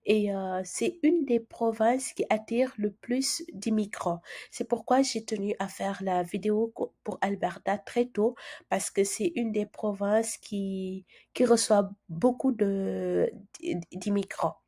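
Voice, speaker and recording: A woman with a cold hosting a screen-share tutorial, talking softly and casually with many fillers and restarts. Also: mid-range voice, slow pace. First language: French. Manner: chatty, hesitant with frequent fillers and restarts. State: has a cold, speaking softly. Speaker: immigration channel host.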